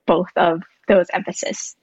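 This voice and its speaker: A woman's voice: lowish voice, level tone, unhurried, breathy edge, dry recording. Brief: young woman from Indonesia, earnest guest, dry dull lo-fi sound. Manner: thoughtful, earnest, explains at length. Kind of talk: podcast interview.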